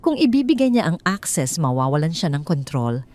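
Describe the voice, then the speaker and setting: A woman's voice: low voice, big pitch swings, fairly quick, a little mellow. A woman narrating a scripted audio drama story in a dramatic storytelling style.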